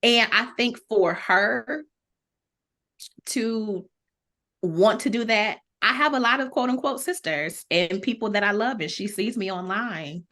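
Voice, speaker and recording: A woman's voice: mid-range voice, measured pace, sharp tone. A woman talking casually and informally on a podcast, thinking aloud with slangy phrasing. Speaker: podcast panelist. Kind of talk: casual chat.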